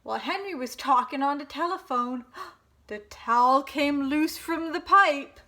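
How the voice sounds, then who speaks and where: A woman hosting storytime, reading a children's picture book aloud in an expressive, dramatic way for young listeners. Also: high voice, a little slow.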